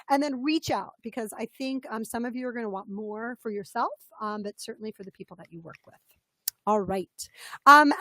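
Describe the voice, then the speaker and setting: A woman's voice: fairly high pitch, a bit bright, no room sound. A woman coach with a slightly scratchy voice, leading an interactive webinar in an upbeat, chatty way and greeting participants by name. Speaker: business coach presenting a webinar.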